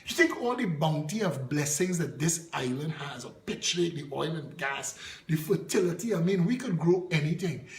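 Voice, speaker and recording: A Trinidadian man with a high, ringing voice delivers a fiery political address. He is scornful and full of rhetorical questions. The room around him is echoey. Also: darkish tone. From Trinidad and Tobago. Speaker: political party leader.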